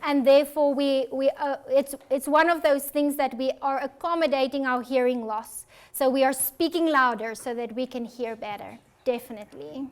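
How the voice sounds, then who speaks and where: A woman's voice: sits high, fairly even intonation, moderate pace, hardly any pauses. A woman with a South African accent, an audiologist giving a health talk and answering audience questions in an earnest, reassuring way. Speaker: audiologist presenter.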